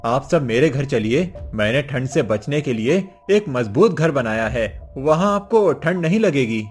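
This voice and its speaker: A man's voice: medium pitch, lively intonation, a little slow, close to an everyday voice. A man playing a poor, determined village father in a cartoon story, speaking in an earnest, declaiming way. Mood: earnest, reassuring. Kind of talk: cartoon story character acting. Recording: music behind the voice.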